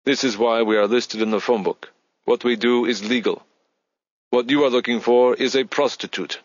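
A man voicing a smooth, courteous but wary Spanish male boss of an escort agency, with formal salesman's phrasing. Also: medium pitch, steady tone, moderate pace, no echo, muffled recording, voice pushed up, natural voice. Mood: cool, formal.

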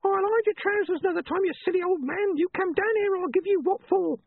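A man voicing a bossy old wife, scolding shrilly as she shouts up from the street. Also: very high-pitched, fairly quick, creaky, no room sound, narrow, tinny audio, pitched above the performer's own voice. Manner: bossy, nagging.

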